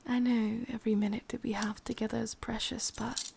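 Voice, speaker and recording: A woman with an English accent playing a possessive, doting witch captor in a whispered, intimate ASMR roleplay, breathy and softly commanding. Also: a little high, little pitch movement, fairly quick, a little hiss or hum. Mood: coaxing, softly commanding.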